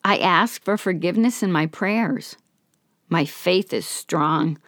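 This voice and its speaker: A woman's voice: on the low side, takes it slowly, a bit bright, no echo, clean studio sound. A woman reading an elderly grieving woman. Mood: sorrowful. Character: elderly grieving woman, guilt-ridden and devout.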